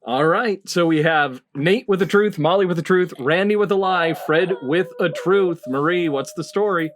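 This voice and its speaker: A woman's voice: fairly low pitch, normal speed. A woman hosting a casual podcast quiz, calling turns and scores in an orderly way. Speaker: game show host.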